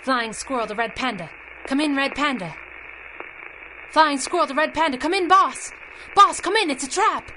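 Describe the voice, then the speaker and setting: A woman's voice: sits high, lively intonation, normal speed, long pauses, bright, forceful tone, slight background noise, rough recording. A woman playing a brash, wisecracking masked crime-fighting sidekick in a pulp radio drama, sassy, taunting and slangy. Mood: urgent. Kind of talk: radio drama acting, pulp adventure serial.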